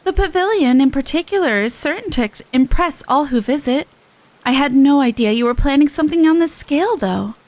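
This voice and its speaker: A woman's voice: high voice, lively intonation, fairly quick, darkish tone, no echo. A woman voicing a gracious, formal official from a fantasy game, reading the dialogue expressively. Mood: awed. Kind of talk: amateur game dialogue read-aloud.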